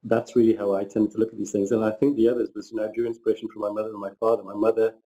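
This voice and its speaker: A man's voice: on the low side, little pitch movement, rapid-fire, mellow, rough recording. A middle-aged man from northern England, speaking reflectively as a panelist with frequent restarts and hesitations. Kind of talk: panel discussion, personal story.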